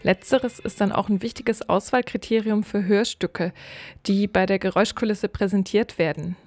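A woman's voice: mid-range voice, fairly even intonation, normal speed, darkish tone. A woman reading scripted narration for a radio feature as its reporter.

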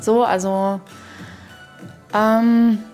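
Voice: mid-range voice, level tone, very slow, darkish tone, soft background music. A woman's voice co-hosting a podcast in casual chat, playful and ironic, wandering from thought to thought. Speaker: podcast co-host.

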